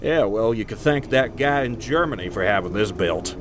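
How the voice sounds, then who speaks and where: A man playing a steady aircraft captain in an audio drama, dry and matter-of-fact with his crew. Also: fairly low pitch, normal speed, lightly acted. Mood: conversational. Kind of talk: naturalistic audio drama acting. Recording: faint aircraft engine noise.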